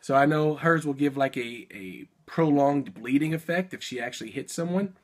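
A man in his thirties chatting casually through an unboxing at a desk, upbeat with quick little laughs, a bit sick and sniffling. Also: medium pitch, expressive, a little hiss or hum. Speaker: toy unboxing vlogger. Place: indoor room full of collectibles.

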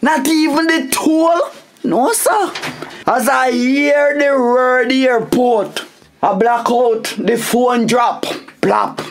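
A man's voice: very high voice, slow, a little hiss or hum, exaggerated voice. An actor playing a flustered, grumbling Jamaican family father in a radio comedy drama, stammering as he complains. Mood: flustered complaining.

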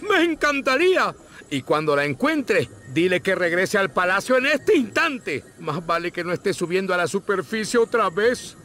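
Very high, fussy cartoon crab. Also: male voice, sing-song, moderate pace, sharp tone, a little hiss or hum, heavily acted. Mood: worried, bossy. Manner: theatrical, exasperated, bossy. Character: fussy, anxious, melodramatic male crab conductor. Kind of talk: animated video game voice acting.

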